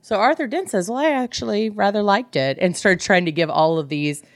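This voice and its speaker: A woman co-hosting a casual book discussion, retelling things in an animated way and acting out dialogue. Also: medium pitch, a little fast, dry recording, clean studio sound. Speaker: podcast co-host.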